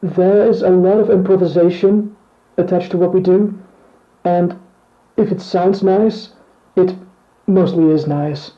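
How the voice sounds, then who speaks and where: A man acting in a scripted mock band interview, speaking in a measured, plain and earnest way. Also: high-pitched, steady tone, lo-fi sound. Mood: calm, earnest.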